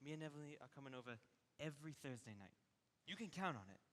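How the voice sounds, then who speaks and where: A boyish, nervous young groom. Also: male voice, fairly high pitch, animated, a bit bright. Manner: boyish, earnest, halting. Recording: reverberant old film soundtrack.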